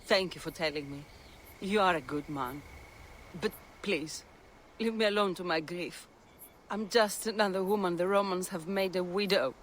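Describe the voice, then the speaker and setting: A woman's voice: lowish voice, moderate pace, a little hiss or hum, natural voice. Woman acting a grief-stricken bitter widow. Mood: grieving, bitter. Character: grieving young widow, proud and bitter. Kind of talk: video game cutscene acting. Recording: faint music behind the voice.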